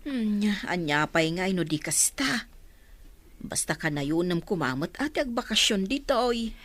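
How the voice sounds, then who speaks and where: An actress playing an outspoken, teasing woman in an Ilocano radio drama, expressive and challenging. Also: on the low side, normal speed, no room sound. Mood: teasing, doubtful.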